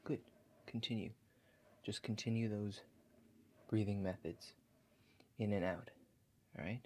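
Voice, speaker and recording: A man playing a calm, attentive relaxation agent in a soft-spoken ASMR roleplay, talking slowly and gently, with reassuring instructions. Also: on the low side, slight background noise, natural voice. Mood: gently guiding. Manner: slow, gentle, reassuring, instructive, often checking in. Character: calm, attentive male house-call relaxation agent guiding breathing.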